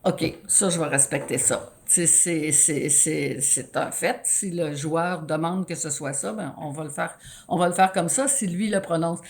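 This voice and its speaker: A woman in her sixties with a Quebec French accent, a sports broadcaster telling stories in an animated interview, often restarting her sentences. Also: sits low, a little slow. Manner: animated, storytelling with many restarts. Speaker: guest, sports play-by-play announcer. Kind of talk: conversational interview.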